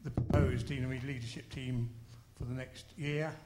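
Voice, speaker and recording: A man at a church conference explaining his team's approach, animated and self-deprecating. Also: medium pitch, moderate pace, very noisy. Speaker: conference delegate.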